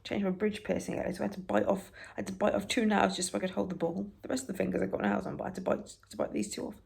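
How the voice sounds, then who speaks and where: A British woman with a raspy voice, softly reading newspaper stories for ASMR and adding wry, halting asides. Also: mid-range voice, steady tone, a little hiss or hum. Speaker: ASMR creator.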